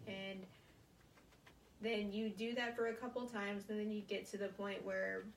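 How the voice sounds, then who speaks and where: Young American woman, gravelly fry, distant mic. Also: mid-range voice, level tone, unhurried. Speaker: college student giving a speech. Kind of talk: prepared informative classroom speech. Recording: roomy, distant mic, faint noise.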